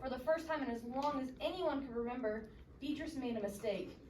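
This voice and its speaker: Female storyteller for children, higher register, expressive teacher-like delivery, roomy sound with background noise. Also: fairly quick. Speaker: read-aloud storyteller. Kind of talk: interactive storybook narration to children. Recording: distant echoey room with noise.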